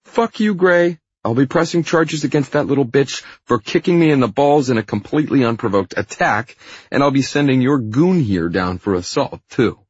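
A man's voice: medium pitch, expressive, moderate pace, breathy edge, dry recording, highs cut off, voice pushed up. A man voicing a whiny, sneering, foul-mouthed office villain in an audiobook, belligerent in manner. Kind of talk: audiobook character dialogue.

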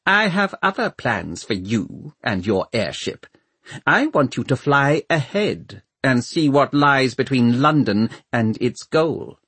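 Man voicing a cold, thin-voiced old ruler, pitched high. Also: sing-song, slow, fairly bright tone, husky, no room sound, full character voice. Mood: commanding. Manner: clipped, imperious. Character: cold, thin-voiced old Lord Mayor, gloomy, commanding. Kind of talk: audiobook character voice.

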